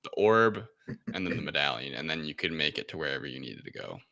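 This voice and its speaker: A man's voice: a bass voice, big pitch swings, quick pace, vocal fry, pushed and bright. A man talking casually as a panelist in a film review discussion. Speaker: podcast panelist.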